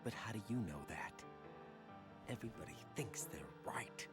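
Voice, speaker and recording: A man's voice: lowish voice, lively intonation, moderate pace, natural voice. A man voicing a sardonic, hot-tempered but loyal young man in a video game, with a wry, emphatic drawl. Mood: wry, uneasy. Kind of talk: dramatic video game voice acting. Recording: game audio over music.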